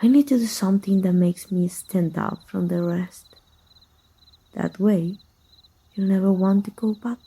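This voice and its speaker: A woman playing a jealous, possessive female villain in an intimate ASMR roleplay, teasing in a husky, breathy murmur. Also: medium pitch, a little slow, long pauses, warm tone. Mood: seductive, smug. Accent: Mexican.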